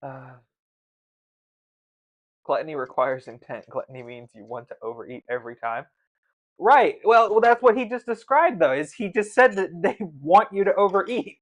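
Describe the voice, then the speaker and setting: A man chatting casually on a livestream in a halting, grumbling manner, often repeating his words. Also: sits high, expressive. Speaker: streamer. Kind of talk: casual chat while tasting food on a livestream.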